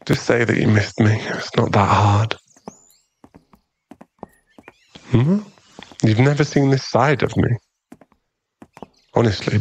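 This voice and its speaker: A man's voice: lowish voice, darkish tone, slightly rough. A man playing a brooding, protective mafia boss in love, in an intimate ASMR boyfriend roleplay. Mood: guarded, conflicted.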